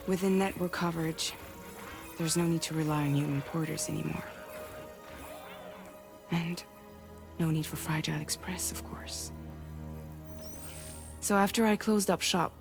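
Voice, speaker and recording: A woman playing a grave, guarded leader of a courier group in a sci-fi game, speaking in a measured, businesslike way. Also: low voice, gravelly. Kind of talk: cinematic game dialogue.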